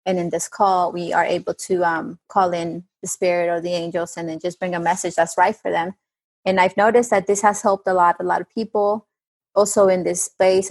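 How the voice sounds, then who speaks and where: A woman from Colombia with a Colombian accent, a spiritual coach speaking calmly and reflectively as an interview guest. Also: on the low side. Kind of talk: casual interview talk.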